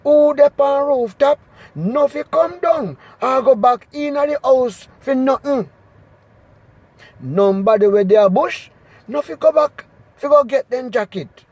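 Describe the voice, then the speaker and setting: A man with a Jamaican accent doing an expressive, emphatic scripture reading in Patois. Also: very high voice, a little slow, no echo. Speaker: Bible reader.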